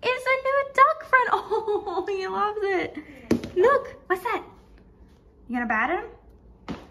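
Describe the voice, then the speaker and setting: A woman's voice: very high-pitched, big pitch swings, slow pace, pushed and bright, a little hiss or hum. A young woman filming a casual, chatty travel vlog on a handheld phone, gushing over shops and places. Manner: bubbly and chatty, lots of gushing. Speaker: vlogger. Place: outdoors and in shops at a beach town, later in a car. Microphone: handheld phone camera.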